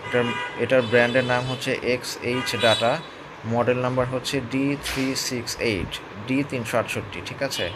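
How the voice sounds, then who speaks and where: A man's voice: mid-range voice, flat delivery, fairly quick. A man gives a casual, hands-on product review, explaining step by step and often checking in with his listeners. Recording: clear background noise, urban ambience.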